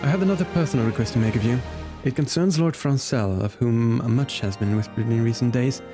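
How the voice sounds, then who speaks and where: A man's voice: medium pitch, brisk, close to an everyday voice. Guy reading out a worried fantasy lord from a game, formal old-timey wording, music behind. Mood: grave concern. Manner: archaic, courtly wording, earnest. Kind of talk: reading game quest dialogue aloud.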